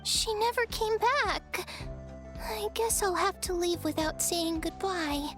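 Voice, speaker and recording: A woman's voice: extremely high pitch, slow, over music. A woman voicing a small, sweet, wistful little girl in a video game. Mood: sad.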